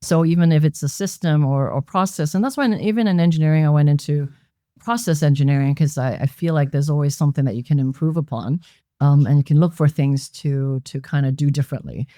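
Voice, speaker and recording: Reflective woman from Hong Kong, mellow. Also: low-pitched, fast talker, no echo, clean studio sound. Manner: reflective, explanatory. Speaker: guest. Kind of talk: conversational interview answers. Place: members' club lounge.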